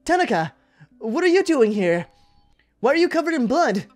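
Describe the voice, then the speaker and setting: A man's voice: extremely high pitch, very animated, moderate pace, soft background music. A man voicing an anxious, pleading teenage schoolboy, urgent and startled, in a visual novel read-aloud. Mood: worried.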